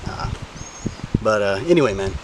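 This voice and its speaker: A man's voice: on the low side, expressive, moderate pace, background noise, close to an everyday voice. An actor playing a brash, womanizing friend who talks in casual, slangy, foul-mouthed rambles in a film drama. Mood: sheepish, cajoling. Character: brash, womanizing male buddy asking a favor.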